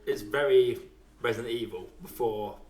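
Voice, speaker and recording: A man's voice: medium pitch, normal speed, a little mellow. A man hosting a podcast, chatting casually and informally about films and games, with some swearing. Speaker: podcast host. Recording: echoey room sound.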